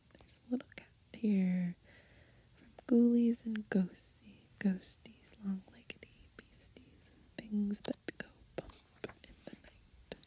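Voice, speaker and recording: Female ASMR host, extremely breathy whisper, slow and gentle, soft dark tone, browsing and reading aloud outdoors. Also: mid-range voice. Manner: gentle, unhurried, murmuring. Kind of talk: ASMR, soft murmured commentary and title reading. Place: outdoors on grass.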